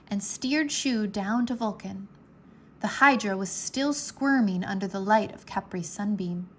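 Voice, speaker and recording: A woman narrating a children's adventure story aloud in an expressive, measured, dramatic storytelling manner. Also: mid-range voice, a little hiss or hum.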